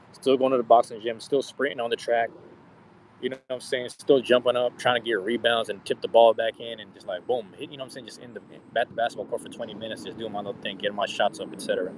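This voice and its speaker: A man's voice: mid-range voice, fairly even intonation, fast talker, slight background noise, low-quality recording. A man in his thirties chatting casually with his viewers in a slangy, profane, rambling way. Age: 30s. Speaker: streamer. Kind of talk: casual live-stream chat with viewers.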